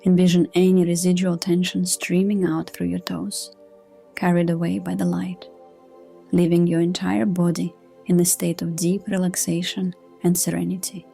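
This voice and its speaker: A woman reading a scripted sleep hypnosis session in a gentle, hushed, soothing manner. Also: on the low side, level tone, normal speed, vocal fry. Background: soft new-age music.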